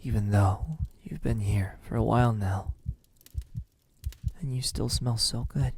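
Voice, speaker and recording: A lovesick, ancient male vampire, played by a man, murmurs in a husky, breathy voice. He speaks very slowly and haltingly, slipping into whispers. The tone is dark and velvety, gentle yet desperate and possessive, in an intimate ASMR roleplay. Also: on the low side. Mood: tender, wistful. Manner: slow, hesitant, halting, tender.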